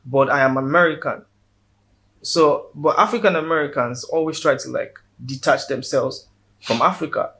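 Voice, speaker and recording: A man's voice: a little high, normal speed, a bit bright. A man with a Nigerian accent, a guest in a casual interview, speaking earnestly and often repeating words as he builds his point.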